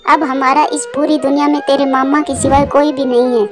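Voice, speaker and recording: A woman's voice: high-pitched, level tone, fairly quick, music in the background, lightly acted. A woman voicing an anxious, devoted young widowed mother bird in a cartoon, with an earnest, pleading way of talking. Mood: worried, pleading. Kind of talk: Hindi moral-story cartoon dialogue.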